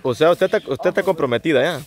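A man's voice: fairly high pitch, fast, a crowd in the background. A man with a Salvadoran accent hosting a casual outdoor vlog, teasing and prying with his questions. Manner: playful, prying. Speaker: vlog host asking questions behind the camera. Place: outdoors under a tin-roofed shelter by a fence.

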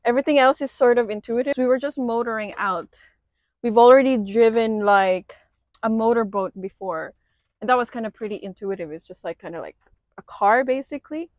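A woman's voice: fairly high pitch, fairly quick, darkish tone, no echo, muffled recording. Chatty woman vlogging on a sailboat. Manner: chatty, laughs often, many fillers. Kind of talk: casual chat. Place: outdoors on a sailboat.

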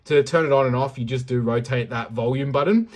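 A man talks through a product in an informal, chatty review style. His voice sits at a middling pitch with a noticeably husky edge. The wording is loose and unscripted. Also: brisk. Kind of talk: casual unboxing review.

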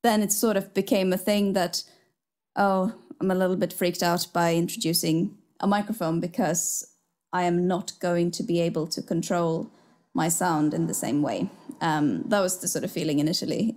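A young woman whose first language is Swedish, talking in a fairly low voice. She chats loosely over a video call from a small room at home, rambling with plenty of fillers. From Sweden. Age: young adult. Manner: relaxed, rambling with many fillers. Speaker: podcast co-host, singer. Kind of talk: casual chat. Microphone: headphones with studio mic on video call.

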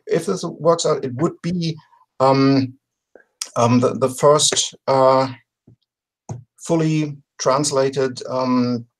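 A middle-aged man talking casually as an interview guest on a video call, often pausing to search for words. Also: mid-range voice, low-quality recording. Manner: halting, word-searching delivery. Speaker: podcast guest presenting a crowdfunding campaign. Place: home room with bookshelves, on a video call.

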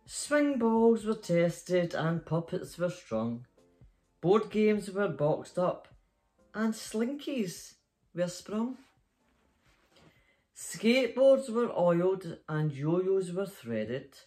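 A woman's voice: fairly low pitch, sing-song, extremely slow, mellow, faint music behind. A middle-aged or older Scottish woman, a grandmother, chatting playfully and reading a story to children in a bedroom. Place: small bedroom, indoors.

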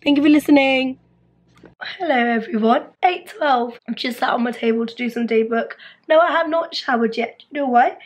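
A young woman talks to her phone camera with a high, husky voice that is still coming back after illness. She rambles in a chatty, self-deprecating way between the car and her bedroom. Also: normal speed. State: recovering from lost voice. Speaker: dental student vlogger. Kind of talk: casual vlog chat to camera. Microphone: phone camera at arm's length.

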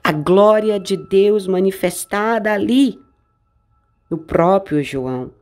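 A middle-aged Brazilian woman, a bishop, preaching a measured daily devotional sermon with emphatic rises and drops. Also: medium pitch. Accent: Brazilian Portuguese. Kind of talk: slow devotional sermon over music. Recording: voice-over with soft background music.

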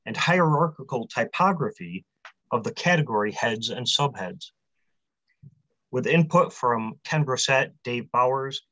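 A man in his forties, a publisher, giving a prepared convention talk from a small room over a video call, in a measured delivery that runs through long lists. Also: medium pitch, fairly bright tone, no room sound. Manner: measured, list-heavy delivery. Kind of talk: prepared talk read aloud. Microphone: webcam on a video call. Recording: dry, slightly muffled webcam audio.

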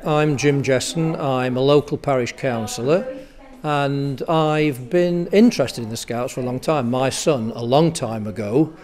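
A man's voice: mid-range voice, a little slow, darkish tone. A man, a local parish councillor making a plain-spoken appeal in an interview indoors. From England. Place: scout hall indoors.

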